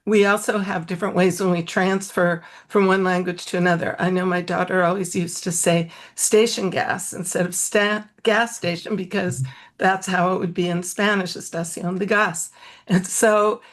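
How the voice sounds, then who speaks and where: A woman's voice: fairly low pitch, steady tone, moderate pace. A woman talking reflectively and loosely as a panelist in an online discussion over a video call.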